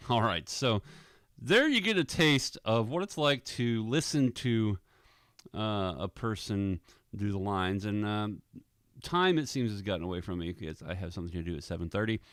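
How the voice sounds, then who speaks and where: A man chats easily through his vlog as an audio drama director. His voice sits a little low, with an amused, relaxed delivery in a dry, close recording. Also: moderate pace, dry recording. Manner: amused, easygoing. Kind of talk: casual vlog commentary.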